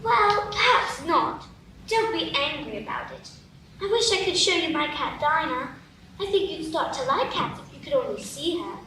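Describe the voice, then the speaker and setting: Woman as curious young girl, very high, bright, roomy echo, distant mic. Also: sing-song, normal speed, a little hiss or hum, exaggerated voice. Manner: wondering, apologetic, chatty. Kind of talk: live radio play acting.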